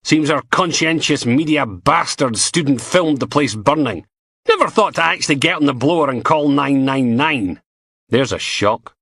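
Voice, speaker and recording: A man voicing a gruff, foul-mouthed middle-aged woman police inspector, growling and brash. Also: medium pitch, expressive, moderate pace, fairly bright tone, no room sound, studio quality, pitched above the performer's own voice. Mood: sardonic. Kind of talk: audiobook character voice.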